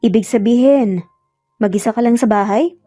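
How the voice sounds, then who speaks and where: Woman voicing an eager, smitten young man, mellow. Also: a little high, moderate pace, faint music behind, lightly acted. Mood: cheerful, persistent.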